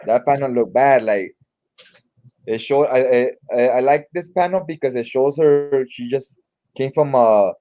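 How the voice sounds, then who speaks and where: A man's voice: medium pitch, unhurried, warm tone, highs cut off. A man giving casual read-along comic commentary in an informal, slangy, mildly profane way. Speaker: comic book reviewer.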